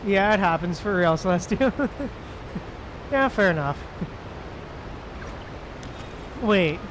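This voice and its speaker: High-voiced, slow-talking male game streamer. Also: animated, noisy background. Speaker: game livestreamer. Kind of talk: casual livestream chat while playing. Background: game music.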